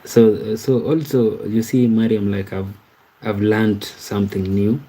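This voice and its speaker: A man from Kenya chats casually on a livestream. His voice sits low and warm, and it barely rises or falls. He reads viewer comments aloud and stumbles over his words as he answers them. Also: flat delivery, low-quality recording. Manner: slow, halting, repeats words and restarts while reading comments aloud. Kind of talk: casual live-stream chat.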